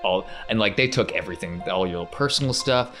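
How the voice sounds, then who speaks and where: A man's voice: lowish voice, fairly quick, music in the background. A man casually narrating a tabletop roleplaying game as the game master.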